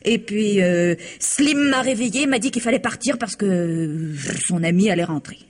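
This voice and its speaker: An actress playing a naive, chatty young country maid in a French radio mystery, hesitant, rambling and giggly. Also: female voice, medium pitch, normal speed, lightly acted. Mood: rambling, earnest.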